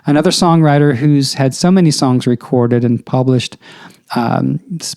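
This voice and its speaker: A man's voice: medium pitch, dark tone. A middle-aged man hosting a podcast, earnestly exhorting songwriters with rhetorical questions in a motivational monologue. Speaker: podcast host and songwriting coach.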